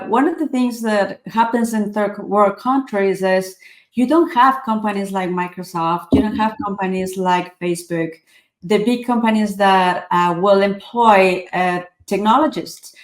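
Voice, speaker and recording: A woman's voice: medium pitch, measured pace, reverberant. A woman in her forties who grew up in Ecuador answers interview questions in an earnest, reflective way, with many fillers and restarts. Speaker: author and tech speaker.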